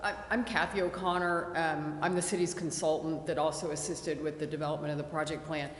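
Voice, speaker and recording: A woman consultant explaining tax funding steps clearly at a city committee meeting. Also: low voice, steady tone, fairly quick, a little hiss or hum. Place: city council chamber. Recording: echoey council chamber from far off.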